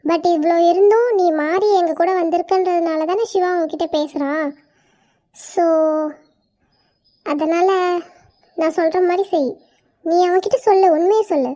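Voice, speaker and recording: A woman's voice: very high-pitched, measured pace, long pauses, mellow. A woman voicing a caring, bossy young woman who comforts her friend firmly. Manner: soothing, firm.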